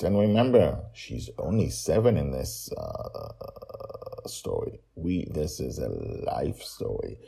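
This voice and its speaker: Low gravelly chatty man. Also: a little mellow. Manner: chatty, rambling, self-mocking. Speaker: podcast author and narrator. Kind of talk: casual podcast outro and credits.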